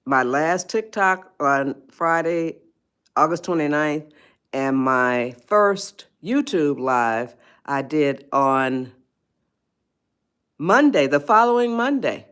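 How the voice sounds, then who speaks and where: An eighty-one-year-old woman chats on her live stream. She talks slowly and drifts between thoughts. She laughs easily and stops to greet her viewers. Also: low voice, animated, fairly bright tone, clear, resonant tone. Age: 80s. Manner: chatty, rambling, laughs often, greets viewers. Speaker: livestream host. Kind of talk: casual live-stream chat.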